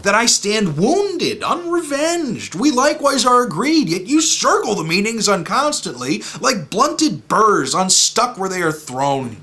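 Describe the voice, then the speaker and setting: A man playing an aggrieved, exasperated gentleman in a florid, plaintive mock-Shakespearean dramatic recitation. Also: high voice, big pitch swings, unhurried, few pauses, heavily acted.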